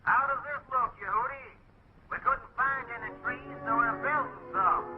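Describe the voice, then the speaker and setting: An actor playing an earnest, small-voiced airman helper with a raspy voice in a 1940s training cartoon. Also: very high voice, moderate pace, faint music behind, exaggerated voice. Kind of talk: 1940s animated training film acting. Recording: tinny, band-limited 1940s film soundtrack.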